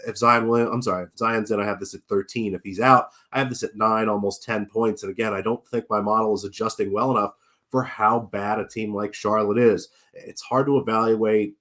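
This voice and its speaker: A man's voice: fairly low pitch, steady tone, brisk, fairly bright tone. A man hosting a basketball betting show, sounding nasal as he gets over a cold, chatting casually with plenty of fillers. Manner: chatty, many fillers and restarts. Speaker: sports betting show host. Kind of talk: casual betting analysis video.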